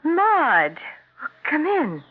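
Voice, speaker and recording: An actress playing a concerned, reassuring wife in a 1970s radio drama, soothing and polite. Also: female voice, high-pitched, huge pitch swings, very slow, heavy background noise, heavily acted. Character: concerned, reassuring wife smoothing over her husband's moods. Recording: tinny, phone-like 1970s radio broadcast recording.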